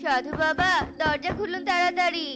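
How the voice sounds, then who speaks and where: A woman's voice: very high voice, steady tone, moderate pace, music in the background. A woman voicing an eager, trusting young bird who leads the flock, earnest and pleading.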